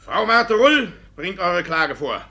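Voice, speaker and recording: A flustered, sly village judge in an old radio drama. His voice is bright and forceful with a gravelly edge, swinging widely in pitch as he stammers and puffs himself up pompously. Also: very animated, slow, full character voice. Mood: flustered, submissive. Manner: stammering, pompous, blustering when cornered. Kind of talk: 1950s German radio play acting. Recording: 1950s radio drama recording.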